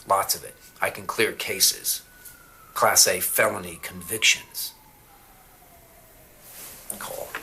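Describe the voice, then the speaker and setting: An actor playing a calculating, self-assured defense attorney in a TV crime drama, speaking deliberately. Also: male voice, lowish voice, bright, forceful tone, a little hiss or hum, exaggerated voice. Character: calculating male defense attorney bargaining from custody.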